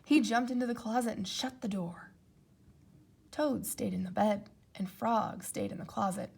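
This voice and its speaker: A woman reading a children's storybook aloud as an expressive narrator. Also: medium pitch, normal speed, gravelly, breathy edge. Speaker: storybook reader.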